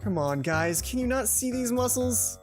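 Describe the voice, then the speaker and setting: A woman's voice: on the high side, expressive, normal speed, faint music behind, voice pushed up, close to an everyday voice. Woman putting on a cocky, showy teenage boy. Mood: boastful. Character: vain, boastful, bickering teenage jock boys.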